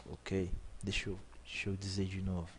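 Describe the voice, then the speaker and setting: A man's voice: low voice, completely flat delivery, moderate pace, slight background noise. A young man, teaching a beginner coding lesson over a screen recording, explaining in a repetitive way, correcting himself and asking "ok?" to check in. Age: 20s. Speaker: programming instructor.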